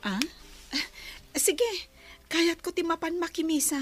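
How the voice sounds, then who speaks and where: An actress with an Ilocano Filipino accent playing a sweet-tempered young student in a radio drama. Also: female voice, extremely high pitch, slow, faint music behind. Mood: agreeable.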